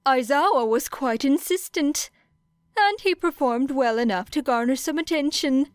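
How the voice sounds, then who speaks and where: A woman voicing a small, clever male animal school principal in an audiobook, bright and pleasantly formal. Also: high voice, animated, moderate pace, no echo, studio quality, pitched above the performer's own voice. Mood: bright, polite. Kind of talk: audiobook character voice.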